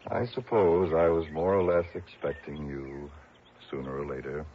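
An actor playing an older, world-weary man with a guarded past, speaking in a halting, deliberate way in a radio drama. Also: very deep voice. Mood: wary. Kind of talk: 1960s radio drama acting. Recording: dull old radio recording, faint noise.

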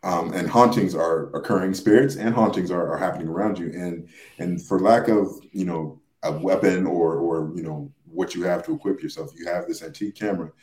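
Low deep guy on a podcast explaining stuff, halting and repeats himself, roomy echo. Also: darkish tone. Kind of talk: casual podcast discussion, explaining.

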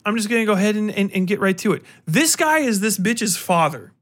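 A man hosting a podcast, giving a casual, ranting review full of swearing and sarcastic, animated retelling. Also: high-pitched, fairly quick. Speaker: podcast host.

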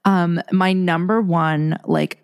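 A woman's voice: on the low side, fairly even intonation, takes it slowly, clear, resonant tone. A young woman co-hosting a casual chat podcast, rambling with many fillers and restarts. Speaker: podcast co-host. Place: Los Angeles.

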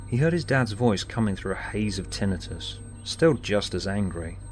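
A man's voice: lowish voice, expressive, normal speed, faint music behind. A man reading scripted story narration as an audiobook narrator. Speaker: author and narrator.

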